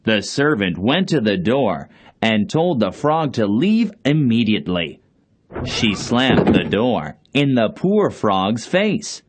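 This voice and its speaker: A man's voice: lowish voice, sing-song, takes it slowly, husky. A man narrating a children's fairy tale as a scripted storybook reading.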